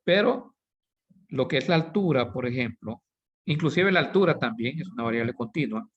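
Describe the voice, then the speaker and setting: A man's voice: medium pitch, animated, moderate pace, breathy edge. A man giving a recorded statistics lesson, explaining with everyday examples, correcting himself now and then, and asking his students "right?" after nearly every point. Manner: asks "¿sí?" and "¿verdad?" constantly. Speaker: teacher. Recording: slightly dull lo-fi recording.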